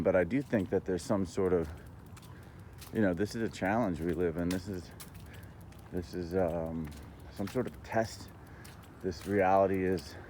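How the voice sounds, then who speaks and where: A man talking in a casual, rambling monologue, halting but earnest and opinionated, as a vlogger. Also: sits low, darkish tone, a little hiss or hum.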